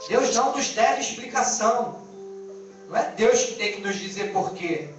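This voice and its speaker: A man's voice: high-pitched, animated, normal speed, muffled recording. A man with a Brazilian Portuguese accent preaching an informal, exhortative sermon as a pastor, using colloquial language, repetition and rhetorical questions. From born in Angola, lived in Brazil. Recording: echoey distant band-limited live stream, music behind.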